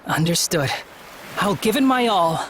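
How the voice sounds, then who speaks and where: A woman playing an earnest, determined young apprentice shipwright in a video game, speaking in a measured way. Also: medium pitch, expressive, slight background noise, natural voice. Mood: earnest resolve. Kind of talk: video game cutscene voice acting.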